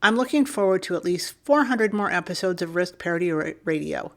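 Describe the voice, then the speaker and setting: A woman co-hosting a podcast, reading a listener's email aloud in a steady, clear manner. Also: lowish voice, fairly quick.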